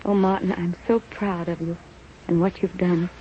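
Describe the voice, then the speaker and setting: An actress playing a brave, self-effacing, devoted wife and assistant to a doctor in an old-time radio drama. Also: female voice, lowish voice, flat delivery, normal speed, natural voice. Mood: tender, wistful. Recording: muffled, faintly noisy vintage radio recording.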